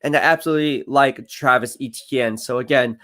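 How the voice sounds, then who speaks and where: A man hosting a casual live stream on football, talking fast and energetically with lots of fillers and repeated words. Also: medium pitch, bright, forceful tone. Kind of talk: casual sports analysis livestream.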